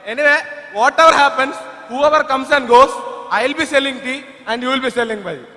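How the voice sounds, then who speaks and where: A man acts an easygoing, teasing tea seller with an Indian accent in a stage play. He has a very high, bright voice, heard with the long reverb of a hall. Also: brisk. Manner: teasing, dismissive.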